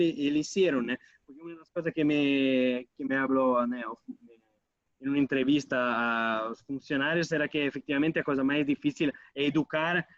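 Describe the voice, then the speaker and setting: An Italian man speaking as an interview guest, halting and restarting as he mixes Portuguese with Spanish. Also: a little high, no echo, highs cut off. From Italy. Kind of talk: casual interview over a call.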